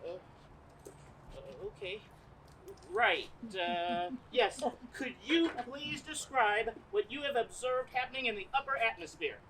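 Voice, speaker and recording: A woman's voice: a little high, big pitch swings, a little slow, fairly bright tone, exaggerated voice, poor audio. A woman playing a bumbling, nervous male radio reporter in a comic stage play outdoors, hesitant and stumbling. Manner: hesitant, stumbling, awkwardly filling time. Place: outdoors in a parking lot performance. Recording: outdoor stage with noise behind.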